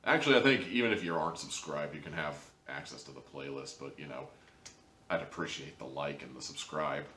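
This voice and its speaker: A man with a notably low voice gives a casual, chatty review to the listener. His delivery is informal and peppered with emphatic asides. The recording sounds clearly roomy and echoey. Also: brisk, sharp tone, a little hiss or hum. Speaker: host and reviewer of audio dramas.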